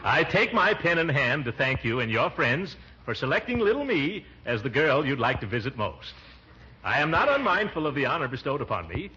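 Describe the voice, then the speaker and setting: An actor playing a pompous, jovial middle-aged uncle in a theatrical 1940s radio comedy style. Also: male voice, mid-range voice, bright, forceful tone. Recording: muffled, noisy 1940s radio recording.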